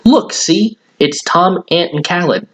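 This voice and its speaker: A man's voice: high-pitched, takes it slowly, voice pushed up. A man voicing a whiny, sulky schoolboy who pleads and protests, as in a storybook reading. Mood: urgent pleading.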